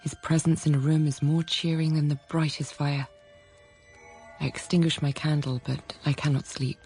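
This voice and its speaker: An actress playing an introspective young governess narrating her own story, hushed, intimate and deliberate, in a radio drama. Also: sits low, little pitch movement, soft background music. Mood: wistful. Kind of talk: dramatised first-person narration.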